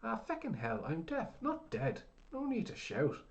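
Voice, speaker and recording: A man voicing a crass, half-deaf old blacksmith who shouts in a gruff, rough brogue. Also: very high-pitched, wildly swooping pitch, measured pace, pitched above the performer's own voice, heavily acted. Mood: mocking.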